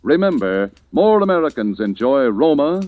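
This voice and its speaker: Male sponsor announcer, 1940s radio ad style, very animated melody, smooth sales delivery, lo-fi sound. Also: mid-range voice, normal speed, dry recording. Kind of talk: 1940s radio commercial, scripted. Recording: dull old 1940s radio recording.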